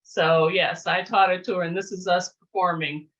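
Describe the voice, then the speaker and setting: A woman telling personal memories as an interview guest, in a casual, halting, reflective way over a video call. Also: on the low side, level tone, fairly bright tone, highs cut off. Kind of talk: casual storytelling, reminiscing. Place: remote virtual interview.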